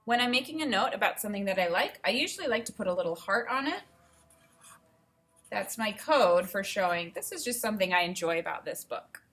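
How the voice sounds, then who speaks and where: A woman teacher reading a storybook aloud and pausing to explain it simply to young learners. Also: mid-range voice, lively intonation, a bit bright. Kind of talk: read-aloud lesson with think-aloud commentary.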